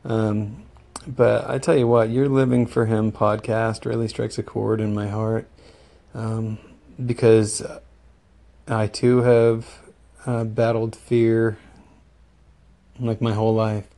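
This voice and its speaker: Sick male caller, gravelly and dark, flat and slow, hesitant voicemail. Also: on the low side. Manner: hesitant, halting, with many fillers. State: sick with the flu. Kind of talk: recorded voicemail message.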